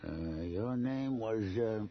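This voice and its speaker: An actor playing a sardonic, evasive small-town local with a dry, folksy manner in a radio drama. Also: male voice, fairly low pitch, lively intonation, slow. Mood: dry, guarded. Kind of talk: 1980s radio drama acting. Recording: muffled, hissy old radio recording.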